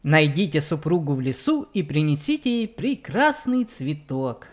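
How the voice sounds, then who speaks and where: A woman's voice: low-pitched, very animated, moderate pace, a little mellow. A woman giving explanatory game commentary for children, speaking directly to her young viewers. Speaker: let's play narrator on a children's channel.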